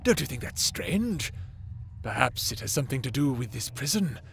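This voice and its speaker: A man's voice: mid-range voice, sing-song, normal speed, gravelly, a bit bright, slight background noise. A man playing a probing, taunting figment of someone's thoughts in an audio drama, speaking formally with archaic phrasing. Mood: measured, cautioning. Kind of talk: audio roleplay drama acting.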